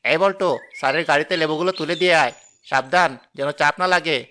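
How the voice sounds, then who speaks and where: A man voicing a greedy, bright-voiced lemon seller. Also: a little high, quick pace. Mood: fawning. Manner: harsh to the poor, fawning to the rich. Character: greedy rude adult male lemon seller. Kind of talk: cartoon voice acting.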